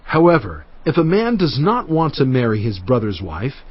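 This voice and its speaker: A man narrating scripture in a solemn, measured and emphatic dramatized reading. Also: medium pitch, big pitch swings, no echo. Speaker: Bible narrator. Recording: dull audio with music bed.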